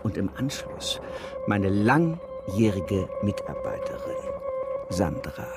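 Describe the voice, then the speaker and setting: A man's voice: fairly low pitch, very animated, slow. A man acting in a German radio drama as a slick, ruthless PR agency boss who talks in glib corporate spin. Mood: smoothly calculating. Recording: music under the voice.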